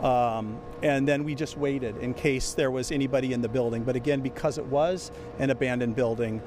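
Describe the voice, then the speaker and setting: A man from emergency medical services giving a hesitant, halting briefing. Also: medium pitch, fairly even intonation, background noise. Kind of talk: press briefing to reporters.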